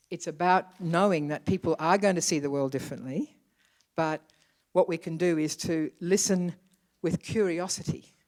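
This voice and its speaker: Calm instructive facilitator, dry sound. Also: mid-range voice, no room sound. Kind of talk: briefing a group.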